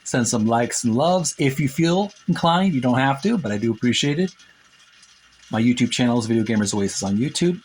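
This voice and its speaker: A man's voice: medium pitch, lively intonation, fairly quick, darkish tone, slight background noise. A man hosting a retro gaming channel, talking in a chatty, earnest, folksy way as he comments and reads passages aloud. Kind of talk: casual commentary with read-aloud book passages. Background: music.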